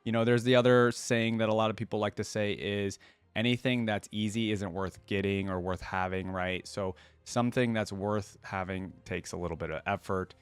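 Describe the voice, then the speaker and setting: Low-voiced man, dry close-mic reaction commentary. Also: steady tone, moderate pace, clear, resonant tone, no room sound. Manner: informal, conversational, addresses viewers. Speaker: host of a reaction channel.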